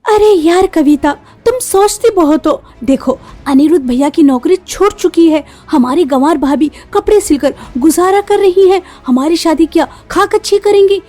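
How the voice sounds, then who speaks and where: A woman's voice: very high voice, lively intonation, normal speed, few pauses, warm tone. A woman voicing a scheming, ungrateful young village sister in a cartoon story, dismissive and persuasive. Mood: scornful. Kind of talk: Hindi cartoon story voice acting.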